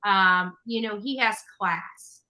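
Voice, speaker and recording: A woman's voice: mid-range voice, slow, sharp tone. A woman talking casually to an online audience in an emphatic, repetitive way.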